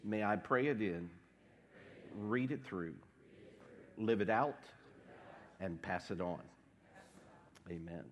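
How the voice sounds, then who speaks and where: A man preaching a sermon as pastor, congested from a cold, with a wry, deadpan humor. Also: on the low side, slow, pauses often, a little hiss or hum. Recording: long hall reverb.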